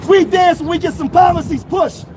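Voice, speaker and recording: High-pitched shouting man. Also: steady tone, a little fast, noisy background. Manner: shouting, emphatic, profane. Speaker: protest speaker. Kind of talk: impassioned street speech, then street chat. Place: outdoor city street protest.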